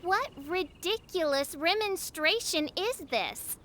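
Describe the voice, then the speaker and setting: A woman's voice: extremely high pitch, animated, slow, a bit bright, slight background noise, heavily acted. A woman voicing a grandiose teenage princess of doom in a video game, pompous and theatrical, declaiming in archaic speech. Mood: haughty. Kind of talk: video game character acting.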